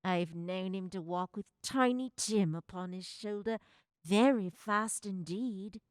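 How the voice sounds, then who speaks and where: Slow, careworn mother played by a woman. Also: mid-range voice, no echo, studio quality, close to an everyday voice. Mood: tender, subdued. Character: gentle, careworn working-class mother. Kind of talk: radio drama acting.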